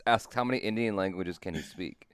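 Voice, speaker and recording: A man's voice: low-pitched, brisk, clear, resonant tone, dry recording, clean studio sound. A man hosting a casual live interview, putting questions to his guest in a conversational way. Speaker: show host.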